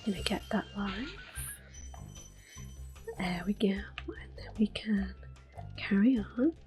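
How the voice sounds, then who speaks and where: A woman hosting ASMR gameplay, talking softly and gently with quiet laughs. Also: mid-range voice, animated, slow, faint music behind. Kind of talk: whispered ASMR gameplay commentary.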